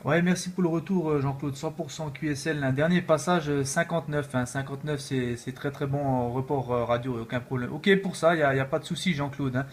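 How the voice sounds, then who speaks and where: An informal man from France hosts a casual radio contact. He repeats himself in loose operator jargon at a middling pitch. A light noise sits behind his voice. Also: steady tone, a little fast, few pauses. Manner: repetitive, filler-heavy, informal.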